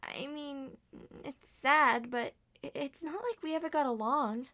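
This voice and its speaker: A woman voicing many characters in an ASMR roleplay, speaking softly and often dropping to a whisper. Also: sits high, a little slow. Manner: soft-spoken, drifts between whispers and normal voice.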